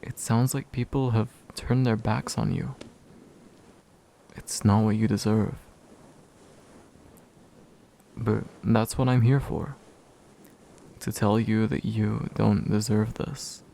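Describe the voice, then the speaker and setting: Man as a gentle, slow-talking comforting demon. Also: on the low side, steady tone, creaky, a little hiss or hum. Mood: tender, reassuring. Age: young adult. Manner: soothing, hushed, unhurried, tender. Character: gentle, reassuring, slightly bashful male demon of envy. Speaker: ASMR roleplay voice actor. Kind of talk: soft, intimate ASMR comfort roleplay.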